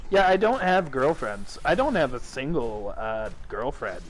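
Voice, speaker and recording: A man's voice: mid-range voice, big pitch swings, measured pace, rough recording. A man hosting a casual nerdy podcast chat, rambling, swearing and laughing often. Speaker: streamer and podcast host.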